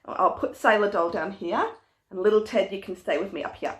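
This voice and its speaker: A woman teacher reading aloud to young children, explaining things simply and deliberately and putting questions to them. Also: mid-range voice. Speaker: early primary school teacher.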